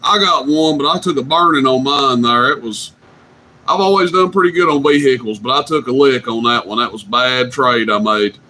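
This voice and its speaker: A man hosting a CB radio night, chatting casually and telling drawn-out stories. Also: mid-range voice, sharp tone, slight background noise, low-quality recording. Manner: drawling, easygoing storyteller. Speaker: CB radio host.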